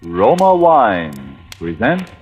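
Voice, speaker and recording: Male ad announcer, slow, hugely varied pitch, grand, emphatic, 1940s radio, vintage recording. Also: huge pitch swings, soft background music. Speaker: commercial announcer.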